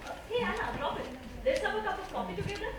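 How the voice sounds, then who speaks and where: A woman's voice: very high-pitched, animated, fast. An Indian-accented woman playing an earnest, emphatic scientist in an amateur stage play. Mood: flustered. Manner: emphatic, theatrical delivery. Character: earnest woman scientist, lecturing yet easily flustered. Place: stage in a hall, far microphone. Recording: very echoey distant stage recording, noisy.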